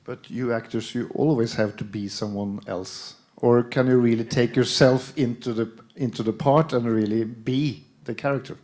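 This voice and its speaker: A man moderates a film festival panel and keeps his questions light and joking. His voice is mellow and sits at a middle pitch. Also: steady tone, moderate pace, few pauses. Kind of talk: panel talk.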